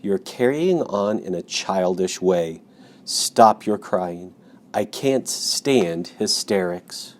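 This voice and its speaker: A wise, kind spider speaks in a calm, brisk, measured way, as a man reads her lines. She stays close to the reader's own voice. It is a gentle storybook figure. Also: low-pitched.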